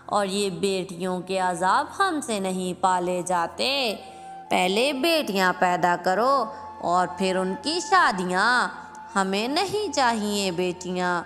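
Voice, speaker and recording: Female performer as a scolding cartoon mother-in-law bird, older, bossy, measured pace, reverberant with music underneath. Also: mid-range voice, animated, fairly bright tone. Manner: nagging, admonishing. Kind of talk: Hindi cartoon moral-story voice acting. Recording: long reverb with music behind.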